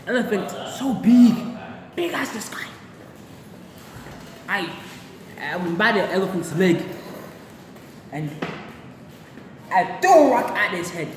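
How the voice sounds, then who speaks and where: A performer playing a boastful primitive hunter who tells a comic story in broken, simple grammar, repeating words and grumbling. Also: medium pitch. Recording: echoey room with steady background noise.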